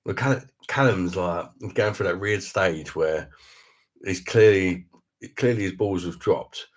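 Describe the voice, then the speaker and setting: Very deep-voiced man chatting casually. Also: slow. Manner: laid-back, chatty, laughs often, swears casually. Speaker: beer review livestream host. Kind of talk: casual live chat reading viewer comments.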